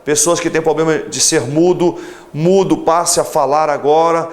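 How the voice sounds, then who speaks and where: A man's voice: fairly high pitch, fairly even intonation, moderate pace. A pastor in his forties preaching a televised sermon and leading a fervent prayer, stretching out emphatic phrases. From Brazil. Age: 40s.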